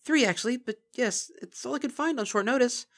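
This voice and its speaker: A man's voice: very high-pitched, a little fast, fairly bright tone, pitched above the performer's own voice. A man voicing a nervous, bookish young mare who stammers anxiously.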